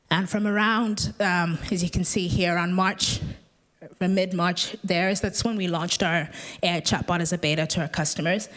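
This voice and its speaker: Lower-voiced woman giving a business presentation. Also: a bit bright. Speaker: presenter from a software company's customer support side.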